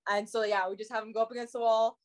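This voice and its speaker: A woman's voice: on the high side, little pitch movement, fast talker. A young Canadian woman in her twenties, talking casually and upbeat in an interview over a video call from a room at home. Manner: upbeat, chatty, many likes and fillers. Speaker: fitness competitor guest.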